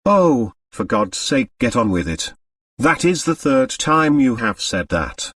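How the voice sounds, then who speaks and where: A man's voice: mid-range voice, sing-song, takes it slowly, fairly bright tone, no echo, far from the mic, heavily acted. A man voicing a society lady's grown daughter, blunt, cynical and dryly outspoken. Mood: impatient. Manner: dry, outspoken, impatient.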